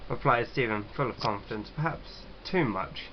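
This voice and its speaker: A man's voice: medium pitch, moderate pace, dull audio, a little hiss or hum. A man hosting a casual gaming read-aloud, jokey and sweary, stumbling a little as he reads. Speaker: gaming video host.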